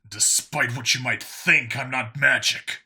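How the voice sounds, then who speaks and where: A blunt human male detective in a sci-fi audiobook, played by a man. He sounds irritable and defensive, with a very bright, forceful tone and a husky grain at a middling pitch. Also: steady tone, a little slow, full character voice. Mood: irritated, defensive. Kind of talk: audiobook character acting.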